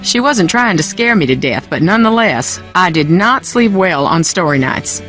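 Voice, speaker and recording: Touchy southern lady in a mystery game, very animated pitch, brittle, soft music behind. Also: moderate pace. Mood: wistful, uneasy. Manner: dramatic, brittle, curt. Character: troubled, defensive Southern woman, haughty and wounded. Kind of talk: video game voice acting.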